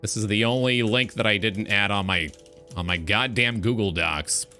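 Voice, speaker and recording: A man's voice: fairly low pitch, moderate pace, pushed and bright. A man hosting a casual livestream talk show, speaking in an earnest, reflective monologue with music playing behind him.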